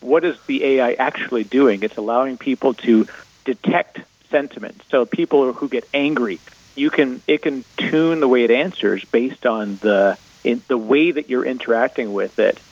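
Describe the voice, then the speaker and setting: A Canadian man with a mid-pitched voice chats as a radio guest. His delivery is informal and wry, starting and restarting phrases as he goes. The audio is slightly dull. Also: normal speed. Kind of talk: casual tech discussion.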